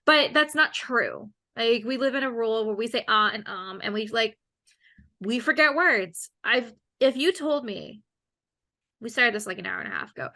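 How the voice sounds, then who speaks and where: Host: woman interviewer, slightly high, bright tone, loose rambling delivery on a video call. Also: lively intonation, moderate pace. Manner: chatty, rambling, with lots of fillers. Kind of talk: casual interview chat.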